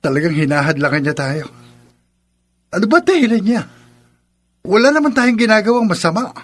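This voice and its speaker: Devoted young boyfriend in radio soap, high male voice, earnest, kinda indignant. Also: sing-song, moderate pace, fairly bright tone, heavily acted. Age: young adult. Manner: earnest, aggrieved. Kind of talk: radio drama acting.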